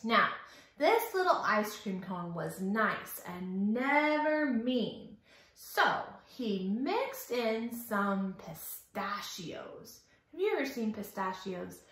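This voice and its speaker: Female children's storyteller, highly animated and unhurried, interactive and exaggerated in expression, recorded in a strongly reverberant room. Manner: animated, sing-song, exaggerated expression, asks and praises. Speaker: children's library storytime host. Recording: very echoey room.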